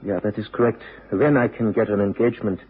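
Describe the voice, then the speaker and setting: An actor playing a polite, desperate young Austrian violinist, speaking haltingly and formally in a radio drama. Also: male voice, fairly low pitch, fairly even intonation, a little hiss or hum, lightly acted. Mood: desperate, pleading. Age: young adult. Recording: tinny old radio broadcast.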